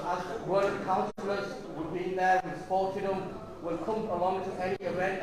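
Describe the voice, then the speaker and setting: A middle-aged councillor addresses a community gathering in a fairly high voice, earnest and given to stumbling restarts. He is recorded from a distance in a strongly echoing hall, and the chatter of a crowd carries underneath. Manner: earnest, repeats words while restarting. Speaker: local councillor. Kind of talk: community event speech.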